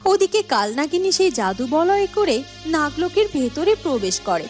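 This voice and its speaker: High-voiced woman narrating a fairy tale. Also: very animated, moderate pace, soft background music.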